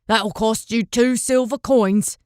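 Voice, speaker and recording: A woman voicing a burly, greedy male fisherman in an audiobook, talking loudly and bluntly with threats. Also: on the high side, steady tone, slow, dry recording, studio quality. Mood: menacing. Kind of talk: audiobook character voice.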